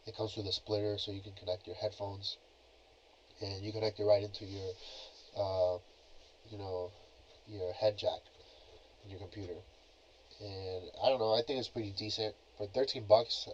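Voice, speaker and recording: A young man talks on his own podcast in a relaxed, rambling way, leaning on filler phrases as he goes. His voice sits on the low side. It comes through a cheap plug-in mic that sounds dull and lo-fi. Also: slight background noise. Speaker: podcast host. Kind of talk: casual solo podcast talk. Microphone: cheap plug-in computer microphone.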